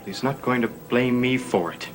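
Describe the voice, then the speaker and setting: A man's voice: medium pitch, normal speed, faint music behind, natural voice. An actor playing an anxious, evasive, defensive man in a 1960s television gothic soap. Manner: edgy, guarded.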